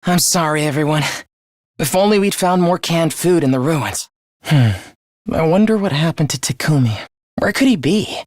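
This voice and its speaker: A man playing an earnest, caring teenage student leader in an anime-style video game, with a youthful, expressive voice and polite delivery. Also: on the high side, moderate pace, no echo, studio quality, natural voice. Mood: gentle, subdued. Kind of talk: animated game voice lines, anime-style dub acting.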